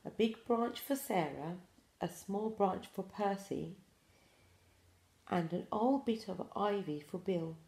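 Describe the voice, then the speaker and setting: A woman in her forties reads a children's story slowly and with lively intonation. Her voice is gentle and mellow, aimed at small children. It sounds like a roomy home recording. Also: mid-range voice, gravelly. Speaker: pre-school story reader. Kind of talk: expressive children's storybook reading. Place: small room indoors.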